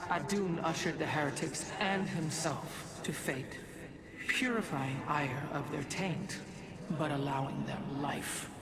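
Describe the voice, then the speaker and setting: An actor playing a stern, devout alien keeper of memories in a video game, speaking formally and didactically. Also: male voice, fairly high pitch. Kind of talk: dramatic video game dialogue.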